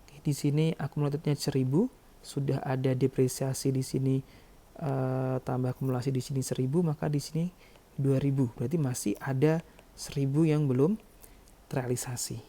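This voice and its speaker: A man's voice: mid-range voice, steady tone, moderate pace, dark tone. A man explaining worked calculations step by step as a lecturer, often adding small check-in words. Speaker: accounting lecturer.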